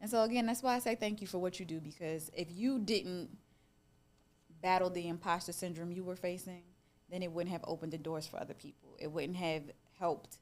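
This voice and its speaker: A woman with a slightly low voice, hosting a live interview. She talks in an animated, conversational way and shows appreciation as she goes. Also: a little fast. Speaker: interviewer and host.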